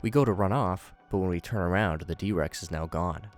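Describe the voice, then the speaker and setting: A man's voice: low voice, normal speed, faint music behind. A man narrating a scripted recap with commentary, adding wry, joking asides.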